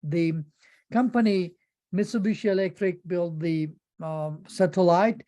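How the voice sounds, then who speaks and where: A middle-aged man with a Turkish accent presents informally. His voice is very soft-edged and mellow, and he speaks haltingly, repeating words as he feels for the next phrase. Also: sits high. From Turkey.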